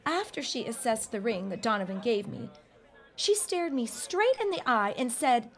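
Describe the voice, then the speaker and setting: A woman's voice: on the high side, lively intonation, moderate pace. A woman playing an anxious, talkative 1910s bride-to-be in a period audio drama, wry and exasperated. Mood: indignant. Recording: party crowd chatter behind.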